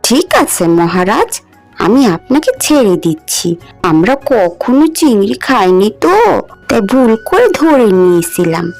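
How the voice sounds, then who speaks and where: A woman voicing a poor, kind young village wife in a cartoon fairy tale, in a homely, expressive way. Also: mid-range voice, measured pace, no echo, faint music behind. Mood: humble.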